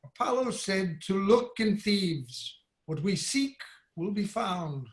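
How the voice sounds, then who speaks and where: An actor playing a grave, urgent royal envoy in a dramatic reading of Greek tragedy, measured and insistent, recorded over a video call. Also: male voice, high voice, expressive. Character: grave, urgent Theban royal envoy bringing an oracle's command. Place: indoor room on a video call. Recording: roomy, echoing video-call audio.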